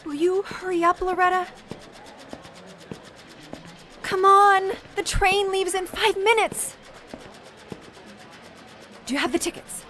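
An actress playing a nervous, brassy young bank teller on the run, snappy and slangy in a period radio drama. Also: female voice, very high voice, steady tone, unhurried, pauses often, bright, forceful tone, faint music behind, exaggerated voice. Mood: urgent. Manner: snappy, slangy wisecracking delivery.

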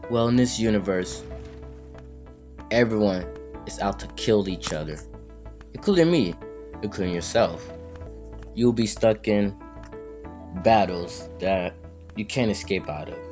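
A man voicing a seasoned, cocky fighter mentoring a newcomer in an amateur game roleplay, deliberate and ominous. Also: on the low side, expressive, a little mellow, soft background music. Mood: ominous, welcoming.

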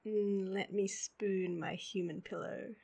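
Woman acting a slow, sleepy, whiny Australian girlfriend. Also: medium pitch, fairly even intonation, gravelly, dark tone, breathy edge, full character voice. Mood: teasing, playful. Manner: drowsy, whiny drawl with sighs and hums. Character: sleepy, whiny, needy, playful girlfriend at bedtime. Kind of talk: intimate roleplay audio, acted to the listener.

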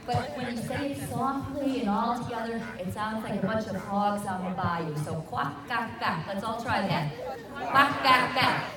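A woman's voice: on the high side, unhurried. A woman playfully leading a children's circle dance at an outdoor festival, talking into a handheld mic. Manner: playful, animated instructions to a crowd. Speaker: folk dance leader. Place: grassy park at an outdoor festival. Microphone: handheld mic, filmed from a distance. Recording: distant, echoey, with music and crowd.

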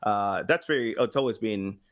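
A man in his thirties from South America, telling stories casually as an interview guest, acting out quotes and pausing to find words. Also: on the low side, a bit bright. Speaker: podcast guest, sound designer. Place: small home room, video call. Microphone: boom-arm microphone with headset.

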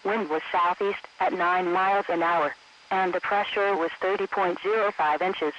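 A man's voice: high-pitched, steady tone, moderate pace, fairly bright tone. A man's synthetic-sounding voice reading scripted weather observations in stilted, clipped phrases. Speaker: weather radio conditions announcer. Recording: muffled, hissy weather radio broadcast.

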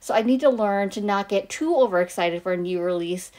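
Hoarse woman, casual nail vlogger voiceover, relaxed chatty delivery, close mic. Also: medium pitch, brisk. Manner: chatty, easygoing, thinking aloud. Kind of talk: voiceover for an unboxing and manicure video.

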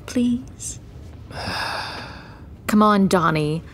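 A woman's voice: mid-range voice, expressive, drawn-out words, a little hiss or hum. An actress playing a stubborn, compassionate homicide detective in an audio drama, pleading her case in a principled, insistent way. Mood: coaxing, insistent.

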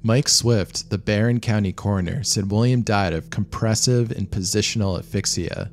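A man reading scripted documentary narration of a true disaster story in a measured, matter-of-fact way. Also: on the low side, a little mellow. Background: background music.

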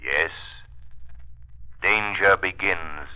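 An actor playing a mysterious masked avenger, giving ominous, deliberate warnings in 1930s radio acting. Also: male voice, low-pitched, smooth. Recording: muffled 1930s radio recording.

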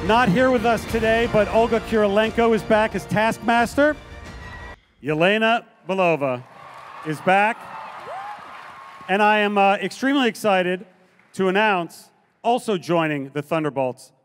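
High-pitched man presenting on stage. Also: unhurried, long pauses. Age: middle-aged. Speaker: presenter at a fan convention. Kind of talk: stage announcements introducing guests. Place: large convention hall stage. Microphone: handheld microphone. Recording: live stage PA with music.

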